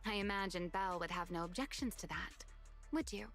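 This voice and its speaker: Wistful, composed young heroine voiced by an actress. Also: female voice, medium pitch, normal speed, fairly bright tone, lightly acted. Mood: resolute. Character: wistful, composed young female fighter hiding old grief. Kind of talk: scripted anime game acting. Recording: game music behind the voice.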